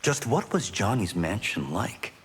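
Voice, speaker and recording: An actor playing an earnest young Shaolin monk fighter in a video game, speaking sincerely and politely. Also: male voice, on the low side, big pitch swings, unhurried. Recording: long hall reverb, faint noise.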